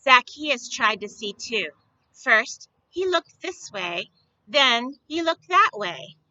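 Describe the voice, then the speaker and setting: A woman telling stories to young children in a simple, deliberate, expressive way, often putting questions to them. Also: a little high, no room sound, highs cut off. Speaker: children's storyteller at a church. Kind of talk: read-aloud storytelling for young children.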